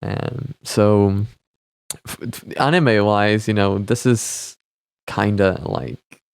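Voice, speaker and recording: A man's voice: on the low side, fairly even intonation, slow pace, creaky, clean tone, no room sound. A man giving casual, off-the-cuff commentary as a reaction host, hesitant and often repeating his words. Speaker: host of a reaction and analysis series.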